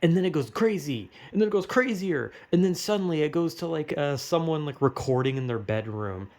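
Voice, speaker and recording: A man's voice: on the high side, expressive, fairly quick, darkish tone. A young American man talks off the cuff in a loose, rambling way. His speech is slangy and full of swearing, like casual opinion commentary among friends. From United States. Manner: rambling, slangy, heavily profane.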